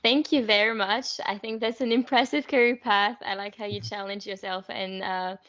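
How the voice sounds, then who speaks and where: A young woman co-hosting a podcast, asking interview questions in a polite, measured way. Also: mid-range voice, steady tone, a bit bright, lo-fi sound. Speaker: podcast co-host.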